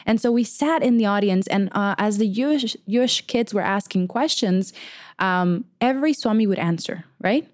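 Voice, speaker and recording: A young woman from Venezuela co-hosts a podcast and tells her story in a loose, chatty way. Her pitch sits mid-range, and she often slips into little voices when quoting people. The sound is dry and close, with no room heard around her. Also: moderate pace, dry recording. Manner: chatty and expressive, laughs often, acts out quoted voices. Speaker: podcast co-host. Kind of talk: casual chat, personal story.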